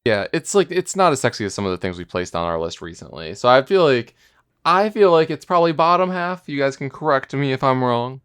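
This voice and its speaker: A man's voice: mid-range voice, lively intonation, fairly quick, a bit bright, clear, resonant tone. A man co-hosting a podcast in casual chat, a little tipsy. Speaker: podcast co-host.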